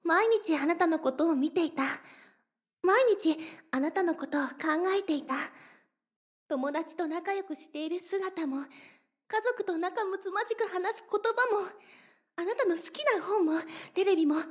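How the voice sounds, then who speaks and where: Very high-voiced obsessive lovestruck girl. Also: normal speed. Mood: obsessive adoration. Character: obsessively lovestruck young woman, possessive, sweetly menacing captor. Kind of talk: Japanese anime-style voice drama acting.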